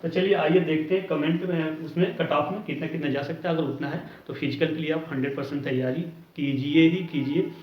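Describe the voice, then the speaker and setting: A man's voice: on the high side, fairly even intonation, brisk, hardly any pauses. A man giving an informal explanatory lesson as an exam coaching teacher, often checking in with short tag words. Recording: roomy, distant mic, dull lo-fi sound.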